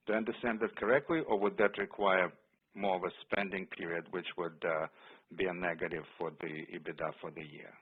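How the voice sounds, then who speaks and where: Raspy guy on a phone line, politely working thru a business question. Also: fairly low pitch. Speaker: financial analyst asking questions. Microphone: telephone. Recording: tinny telephone line.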